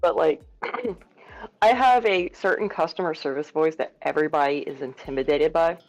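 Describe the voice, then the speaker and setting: A man with a light, feminine-sounding voice, chatting casually as a stream co-host and quickly correcting himself. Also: on the high side, lo-fi sound, faint music behind. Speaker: livestream co-host. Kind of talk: casual chat.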